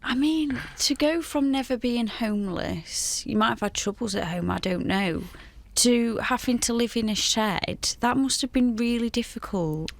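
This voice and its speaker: A woman's voice: on the high side, lively intonation, normal speed, creaky, no echo. A woman hosting a breakfast radio show, introducing a guest and asking direct questions.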